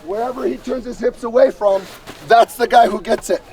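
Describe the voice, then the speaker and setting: Guy teaching a combat class outside, high voice, mic far off, keeps saying right?. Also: a little fast, darkish tone. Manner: tosses in "right?" after short points. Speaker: combat coach. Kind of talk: hands-on seminar instruction. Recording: distant mic outdoors.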